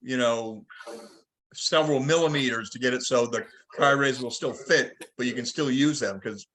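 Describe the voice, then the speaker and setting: Man, bright sharp voice, medium pitch, call moderator, conversational, stumbles over word starts. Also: moderate pace, low-quality recording. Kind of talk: technical club discussion.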